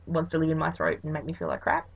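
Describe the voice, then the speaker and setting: A middle-aged Australian woman with a hoarse, sniffly voice, chatting casually and self-deprecatingly as she talks through a craft project. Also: sits low, steady tone, fast talker. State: hoarse, sniffly from hay fever. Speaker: art channel host. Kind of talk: casual craft tutorial voice-over. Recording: dull lo-fi home recording.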